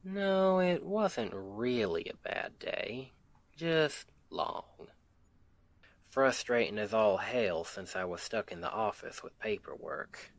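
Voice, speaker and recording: Slow, low-voiced sweet Southern husband, acted by a man. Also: lively intonation, vocal fry, no room sound, heavily acted. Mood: weary, frustrated. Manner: affectionate, unhurried, folksy, gently teasing. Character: sweet doting southern country husband, playful and tender. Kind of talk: ASMR roleplay, intimate domestic scene.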